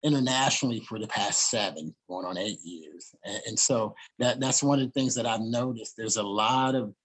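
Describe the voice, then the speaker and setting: A man's voice: fairly low pitch, moderate pace, a little hiss or hum, poor audio. A man hosting a casual interview, talking in an easygoing way with frequent "you know" fillers. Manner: easygoing, uses "you know" and "I guess you could say". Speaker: podcast host.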